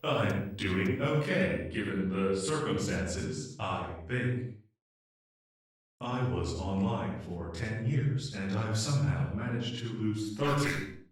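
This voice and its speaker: Guy playing a polite worried male ai in a sad audio drama, talks real slow and careful, sounds far off from the mic. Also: on the low side, lively intonation. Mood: stunned, subdued. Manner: measured, formal, articulate, hesitant. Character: anxious, polite, humanlike male artificial intelligence awakened after decades. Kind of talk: emotional audio roleplay drama. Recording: echoey, distant-sounding room.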